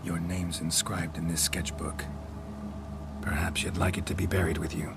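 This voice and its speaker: An actor playing a weary, stoic monster hunter in a video game, terse and deadpan, with a low gravelly voice. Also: male voice, normal speed. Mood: solemn. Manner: terse, clipped, deadpan, drops sentence subjects. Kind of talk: video game cutscene acting. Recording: loud music under the voice.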